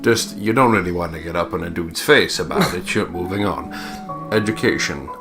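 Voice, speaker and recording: A man's voice: low voice, animated, normal speed. A man co-hosting a let's play, switching between casual, sweary jokes and reading game text aloud. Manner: jokey, sweary banter. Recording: music behind the voice. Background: guitar game music.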